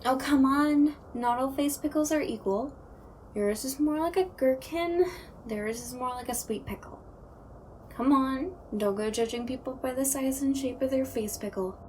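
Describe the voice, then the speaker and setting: A man's voice: extremely high pitch, normal speed, darkish tone, a little hiss or hum. A man playing a silly, wisecracking ghost prankster in a comedic audio roleplay, with a goofy, singsong, playful delivery.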